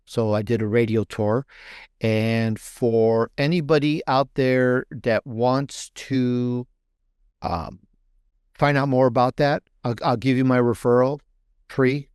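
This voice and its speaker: A man's voice: medium pitch, fairly even intonation, slow pace, a little mellow, clear, resonant tone, no echo, clean studio sound. A man speaking as an interview guest in a casual, rambling storytelling way, often rephrasing himself mid-thought. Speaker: podcaster and author guest.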